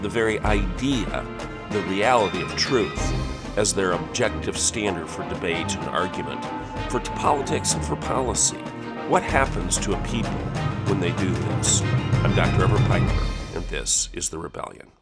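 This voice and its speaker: A man hosting his own talk show, hoarse and growly from a head and chest cold, delivering an emphatic opinion monologue. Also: on the low side. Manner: emphatic, piling up lists of words. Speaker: podcast and radio host.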